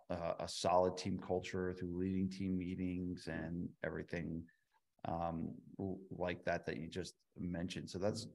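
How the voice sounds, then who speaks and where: A man's voice: sits low, vocal fry, darkish tone. A man hosting a podcast interview, summing up a guest's advice point by point in a casual way. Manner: sums up advice in numbered points. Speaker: podcast host.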